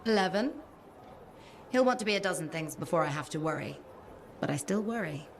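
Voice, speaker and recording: Low-voiced caring game mechanic. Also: female voice, expressive, a little fast, a little hiss or hum. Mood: fond, wry. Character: caring, practical female mechanic, devoted mother. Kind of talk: video game dialogue acting.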